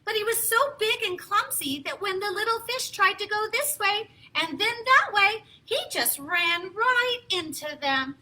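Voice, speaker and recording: A woman reads aloud for young children as a storytime host. Her voice is extremely high and very bright, and she takes her time with slow phrasing. The delivery is theatrical and playful, with exaggerated expression. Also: expressive, poor audio.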